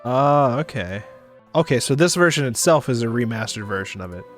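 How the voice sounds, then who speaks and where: Male livestream host, medium pitch, casual conversational delivery, informal and a bit sweary. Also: lively intonation, fairly quick, soft background music. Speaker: streamer, host.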